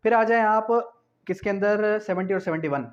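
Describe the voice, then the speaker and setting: A man's voice: sits high, fairly quick. A man with a Pakistani accent giving an explanatory law lecture, didactic, posing questions and answering them himself, mixing in English terms. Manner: switches between Urdu and English terms. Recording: dull lo-fi lecture audio.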